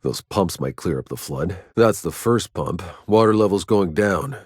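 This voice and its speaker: A man playing a gruff, wry, battle-worn soldier in a sci-fi video game. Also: low voice, expressive, a little slow, dry recording, studio quality, full character voice. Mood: sardonic, casual. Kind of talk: video game voice lines, dramatic acting.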